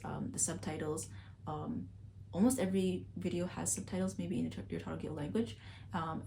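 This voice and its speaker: A woman from Sudan talking casually to camera as a vlogger, chatty and relaxed with lots of little fillers. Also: on the low side, vocal fry, slight background noise. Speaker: language-learning vlogger.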